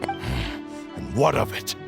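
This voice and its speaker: A man's voice: very deep voice, huge pitch swings, extremely slow, full character voice. An actor playing an arrogant, vengeful male sword spirit that possesses a body, in a haughty, scornful game cutscene voice. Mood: defiant. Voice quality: gravelly. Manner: haughty, scornful villain speech. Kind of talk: video game cutscene voice acting. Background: game score music.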